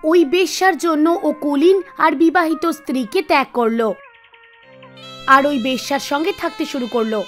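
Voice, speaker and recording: A woman reading scripted narration for an animated devotional tale, with music behind her. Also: sits high, unhurried. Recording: music bed under the voice. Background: background music.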